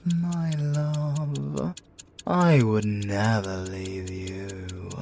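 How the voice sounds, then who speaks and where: British man playing a very slow, seductive siren lover. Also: medium pitch, darkish tone, slight background noise. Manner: slow, breathy, seductive crooning with drawn-out pauses. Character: possessive, doting, menacing male siren lover. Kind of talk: intimate ASMR audio roleplay.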